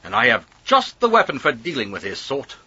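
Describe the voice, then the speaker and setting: Husky, genteel schemer. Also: male voice, fairly high pitch, wildly swooping pitch, fairly quick, pushed and bright, dull audio, full character voice. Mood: urgent, confident. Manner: genteel, wordy, insinuating. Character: suave scheming male employer, widowed, politely commanding. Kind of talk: radio drama acting.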